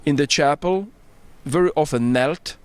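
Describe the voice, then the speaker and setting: A man's voice: fairly high pitch, a little slow, smooth, no echo. A middle-aged man from Poland with a Polish accent, a priest answering interview questions earnestly, searching for his English words, recorded outdoors on a rooftop. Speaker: priest and bishop-elect, interviewee. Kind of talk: casual interview.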